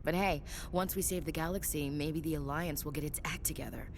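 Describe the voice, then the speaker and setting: An actress playing a proud, defiant young human soldier in a video game, with a blunt, sardonic manner. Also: female voice, fairly even intonation, brisk. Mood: sardonic, argumentative. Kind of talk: video game dialogue acting. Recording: rumbling background noise.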